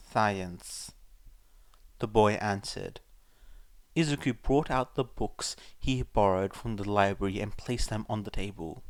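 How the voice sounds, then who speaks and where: A man's voice: mid-range voice, no echo. A man reading scripted fiction aloud as an audiobook-style narrator. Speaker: podfic narrator.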